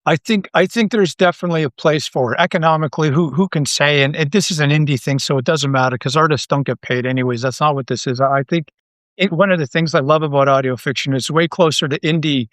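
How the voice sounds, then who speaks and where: A Canadian man with a fairly high voice, recorded very dry. He speaks casually as an interview guest, earnest and often stopping to rework his phrasing as he thinks aloud. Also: a little fast, a bit bright, dry recording. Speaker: interview guest, audio drama writer and creator.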